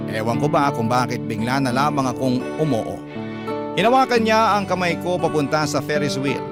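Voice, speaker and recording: A man narrating a listener's letter in classic radio storytelling style. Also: on the high side. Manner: steady, storytelling. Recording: loud background music.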